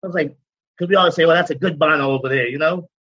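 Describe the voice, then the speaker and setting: Man, 40s, interview guest; bright, easygoing, rambling delivery. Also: on the high side, very fast, no echo, muffled recording. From Guyana. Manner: relaxed, repetitive, rambling with many "you know"s. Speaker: cigar business owner, guest. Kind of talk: casual interview talk.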